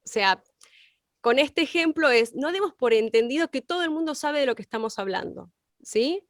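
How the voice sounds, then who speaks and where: A woman's voice: high voice, animated, normal speed, dry recording, studio quality. A woman co-teaching a class, explaining didactically with examples and checking that she is understood.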